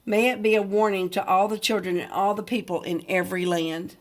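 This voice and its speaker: A woman's voice: medium pitch, fairly even intonation, fairly quick. Woman teacher leading a read-aloud lesson. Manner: addresses listeners directly with questions. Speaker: teacher reading a picture book aloud.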